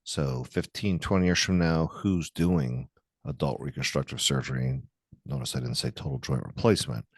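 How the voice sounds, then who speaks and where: Man, very deep voice, dry close sound; interviewer with long questions. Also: steady tone, normal speed, husky, dry recording. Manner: asks long, searching questions with restarts. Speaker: podcast host, surgeon interviewer. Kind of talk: conversational podcast interview.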